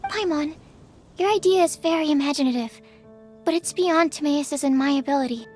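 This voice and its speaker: A woman's voice: sits high, moderate pace, darkish tone, soft background music, natural voice. A woman playing a shy, polite young female alchemist in a video game, earnest, studious and hesitant in manner. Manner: hesitant, apologetic, earnest explaining.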